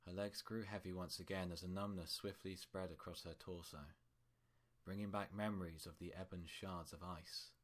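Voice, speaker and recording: Deep-voiced man narrating a story. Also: steady tone, normal speed.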